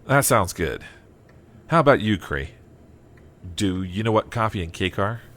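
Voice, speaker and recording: A man's voice: on the low side, lively intonation, a little slow, a bit bright. A man playing a cautious, plain-spoken farmer and family man in an audio drama, hesitant but direct. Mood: friendly.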